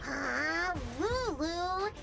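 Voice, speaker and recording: Mischievous game little girl, squeaky high, bouncy lilt, unhurried, music underneath. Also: expressive, vocal fry, exaggerated voice. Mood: playful. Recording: game cutscene with music score.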